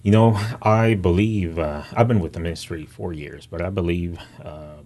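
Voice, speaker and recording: A man from Honduras, a mission field director, talking as a guest in a warm, hesitant way with many fillers. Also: low-pitched, moderate pace. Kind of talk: interview guest.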